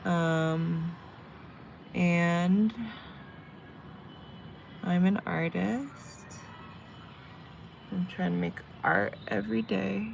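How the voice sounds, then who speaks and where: A young woman rambles casually to her livestream viewers, her voice low and gravelly with some vocal fry. She chats loosely while doing her makeup. Faint background noise sits under the recording. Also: fairly even intonation, drawn-out words, pauses often, rough recording. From San Diego, California. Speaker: livestreamer and tarot reader. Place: home in Vietnam.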